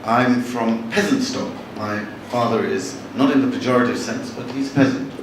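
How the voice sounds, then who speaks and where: Man, novelist, halting and wry; live talk, distant mic, heavy hall echo. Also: mid-range voice. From born in Bangladesh, raised in London. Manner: wry, chatty, halting with frequent restarts. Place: large hall with audience. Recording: echoey hall from far off, noisy.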